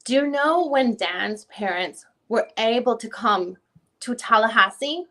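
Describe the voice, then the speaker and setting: A woman's voice: on the high side, lively intonation, a little slow, sharp tone, exaggerated voice, rough recording. A woman reading the part of a probing lawyer questioning a witness at a deposition. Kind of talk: dramatic reading of legal questioning.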